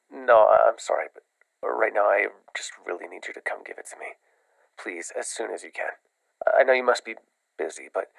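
A young man acting a desperate, evasive caller, speaking haltingly with a gravelly voice in an immersive audio roleplay. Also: mid-range voice, a bit bright, no echo, low-quality recording. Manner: halting, pleading. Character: desperate, evasive man pleading over the phone.

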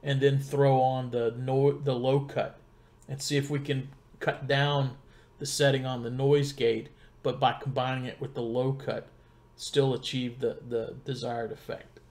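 Middle-aged male tech presenter, mid-range voice, informal step-by-step explaining, pauses to think as he goes. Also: mid-range voice, flat delivery, darkish tone. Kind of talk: casual step-by-step gear tutorial. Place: home computer desk in a wood-panelled room. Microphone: desktop condenser mic about two feet away.

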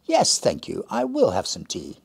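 A man doing a hearty, jolly gentleman stranger. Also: high voice, huge pitch swings, normal speed, vocal fry, slightly rough, pitched above the performer's own voice, exaggerated voice. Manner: hearty, laughing, exclaiming. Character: cheerful jolly middle-aged gentleman stranger.

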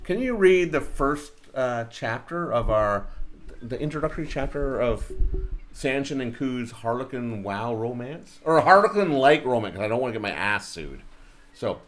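Middle-aged man, streamer, highly varied pitch, joking, casual chat. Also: moderate pace, a little hiss or hum. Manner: animated, jokey. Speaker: streamer and host. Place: home room, indoors, at a desk.

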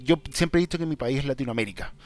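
A man with a Chilean accent hosting a casual vlog, talking to viewers in a direct, emphatic and somewhat halting way. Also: mid-range voice, a little mellow. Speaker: YouTube channel host. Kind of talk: casual vlog talk, answering viewer questions.

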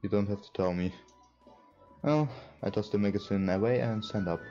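A young man who is not a native English speaker plays a game and reads its lines aloud casually. His voice is low and very mellow, and he stumbles a little as he reads. The recording is a dull, lo-fi one. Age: young adult. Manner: halting, stumbles while reading. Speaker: let's player. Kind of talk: casual let's play, reading game dialogue aloud. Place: indoor room at a computer. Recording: dull lo-fi sound. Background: game music.

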